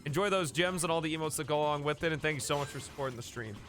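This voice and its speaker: A man's voice: fairly high pitch, rapid-fire, fairly bright tone, soft background music. A man live-streaming a game, chatting casually and thanking viewers by name, a bit sniffly from a coming cold.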